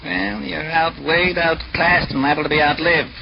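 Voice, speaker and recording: An actor playing a blunt, wry, streetwise police lieutenant in 1940s radio drama style. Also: male voice, a little high, steady tone, normal speed, creaky. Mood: blunt warning. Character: gruff, streetwise police lieutenant, loyal old friend. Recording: noisy, muffled old radio recording.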